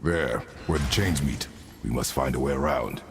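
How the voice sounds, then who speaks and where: An actor playing a stern, terse warrior father in a video game, with a gravelly voice and grave, commanding delivery. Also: normal speed. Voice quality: very deep, gravelly. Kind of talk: video game character acting. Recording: loud rumbling game background noise.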